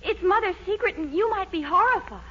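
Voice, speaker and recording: An anxious young American girlfriend guarding secrets in a 1940s radio comedy. Her voice is extremely high and bright, heard through a dull vintage broadcast. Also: lively intonation, a little fast, full character voice. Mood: anxious, secretive.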